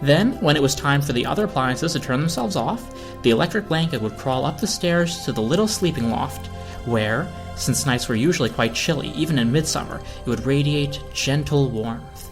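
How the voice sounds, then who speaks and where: A man narrates a storybook from a script. Music plays quietly behind his reading. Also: a little high, lively intonation, moderate pace. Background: orchestral film score.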